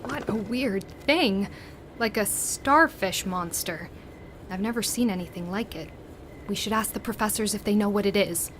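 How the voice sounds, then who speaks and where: An actress playing a concerned, practical student friend in a radio play, earnest and reassuring. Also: female voice, medium pitch, moderate pace, fairly bright tone, a little hiss or hum, close to an everyday voice. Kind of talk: dramatic radio play acting.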